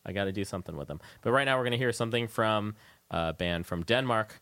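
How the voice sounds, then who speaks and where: A man with a fairly low voice hosts a music and talk radio show. He speaks casually and informally, with a joking looseness. The sound is dry and close, like a small studio. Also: quick pace, fairly bright tone, no room sound. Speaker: radio host interviewing a guest. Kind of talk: casual chat and music back-announcing.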